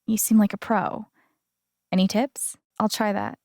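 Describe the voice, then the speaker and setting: An actress playing a friendly, wry and earnest young woman in a video game, talking in a casual, gently teasing way. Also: mid-range voice, very animated, a little slow, no echo, clean studio sound. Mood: friendly, curious. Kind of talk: naturalistic video game dialogue acting.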